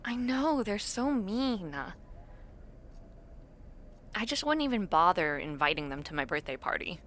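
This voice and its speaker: A woman's voice: medium pitch, expressive, a little slow, a bit bright, slight background noise. A woman playing an awkward, imaginative young university dormmate in a casual, intimate audio roleplay, chatting in a hesitant, self-deprecating way. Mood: playful, chatty.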